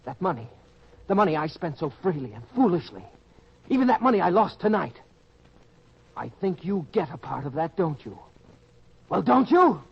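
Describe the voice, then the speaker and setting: A man's voice: a little high, sing-song, moderate pace, gravelly, pauses often, slightly rough, full character voice. An actor playing a naive, earnest young farm boy who has been swindled, in an old-time radio drama style. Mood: indignant, angry. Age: young adult. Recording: dull lo-fi radio-style recording.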